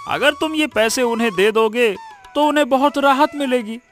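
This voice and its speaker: A man playing a kindly, generous merchant elder in a Hindi cartoon story, persuasive, with drawn-out interjections. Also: very high-pitched, a bit bright, soft background music. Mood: advising, persuasive.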